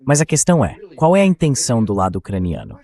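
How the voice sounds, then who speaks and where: A man's voice: mid-range voice, wildly swooping pitch, fast talker, creaky, dark tone. A man hosting a political discussion in a translated voice-over. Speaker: host.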